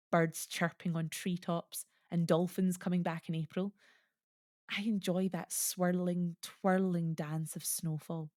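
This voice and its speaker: Audio play: actress as lyrical, reminiscing immigrant mother, lowish pitch, dry close sound. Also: a little mellow, no room sound, lightly acted. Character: reflective Estonian immigrant mother fond of winter.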